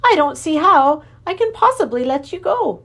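A woman voicing an exuberant, lovesick male creature king with antlers, who lisps and gushes in a high voice. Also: normal speed, exaggerated voice. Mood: anxious. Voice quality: lisping. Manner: high, gushing, excitable. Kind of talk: storybook reading.

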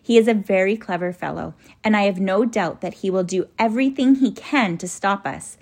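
A woman voicing a kindly, grave male professor in a children's storybook, speaking in an earnest, measured, instructing way. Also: medium pitch, darkish tone, natural voice. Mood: grave, resolute.

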